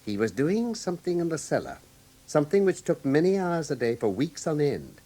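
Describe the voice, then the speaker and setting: An actor in a British radio drama playing a coolly analytical gentleman detective with an English accent and a precise, assured manner. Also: on the high side, very animated, fairly quick. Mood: explanatory, assured.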